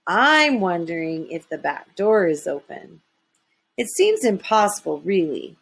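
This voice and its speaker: Woman playing an earnest, animated storybook elf. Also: fairly low pitch, husky, exaggerated voice. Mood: pondering. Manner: dramatic, emphatic, with drawn-out words. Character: small excitable elf, anxious and earnest storyteller. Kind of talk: children's storybook reading.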